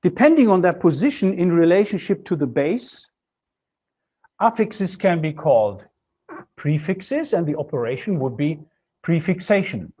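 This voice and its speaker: A middle-aged man lectures in a classroom, explaining points step by step as he writes on a board. His voice sits a little high for a man. The sound is dull and lo-fi, picked up by a camera across the room. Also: animated, dark tone, breathy edge. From Germany. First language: German. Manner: measured, explanatory, writes on a board while talking. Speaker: linguistics lecturer. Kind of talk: recorded university lecture. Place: classroom with a projection screen.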